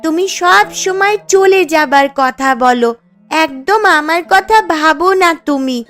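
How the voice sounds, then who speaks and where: A woman's voice: sits high, unhurried, fairly bright tone, soft background music. A woman voicing a young, devoted granddaughter in a cartoon story, anxious and pleading in manner. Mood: worried, pleading. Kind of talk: Bengali cartoon story dialogue.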